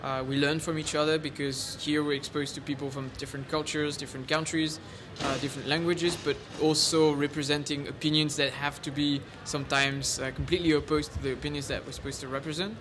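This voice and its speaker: Even-toned young man over background noise. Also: on the high side, a little fast. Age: young adult. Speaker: conference official being interviewed. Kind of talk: spontaneous interview answer.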